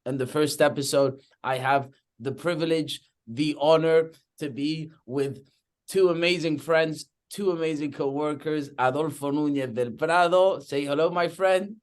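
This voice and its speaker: A man's voice: fairly high pitch, measured pace. A young man from Madrid with a Spanish accent, hosting a real estate webinar enthusiastically and often checking in with "okay?". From Spain (Madrid). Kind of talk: webinar presentation.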